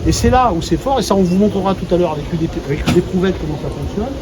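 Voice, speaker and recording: A man's voice: high voice, expressive, quick pace. A man giving an informal, colloquial explanatory talk to visitors on site, simplifying technical points as he goes. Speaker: company executive presenting a water treatment plant. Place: on site at a treatment plant. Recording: dull sound, heavy ambient noise.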